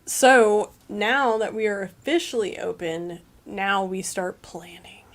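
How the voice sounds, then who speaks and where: A woman's voice: mid-range voice, steady tone, slow pace. A woman co-hosting a livestream, chatting upbeat and reading out viewer comments. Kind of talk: casual chat.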